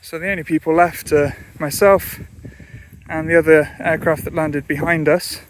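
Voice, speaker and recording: Guy doing casual pilot vlog outside, slightly high voice, noticeable background noise. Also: level tone, moderate pace. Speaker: pilot and vlogger. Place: outdoors at an airfield. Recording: clear outdoor ambient noise.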